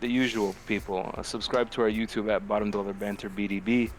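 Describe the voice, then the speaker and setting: A man's voice: on the low side, fast, slight background noise. A man co-hosting a podcast in casual banter, telling stories with slang, swearing and a ready laugh. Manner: laughing, animated storytelling, heavy slang and swearing. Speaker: podcast co-host.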